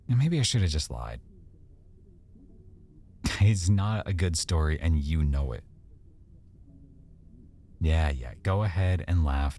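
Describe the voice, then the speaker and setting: Very deep, gravelly boyfriend played by a man. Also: slight background noise, exaggerated voice. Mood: sheepish. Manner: soft, hesitant, teasing, conversational. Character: affectionate, teasing, easily embarrassed tattooed young boyfriend. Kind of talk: intimate boyfriend ASMR roleplay.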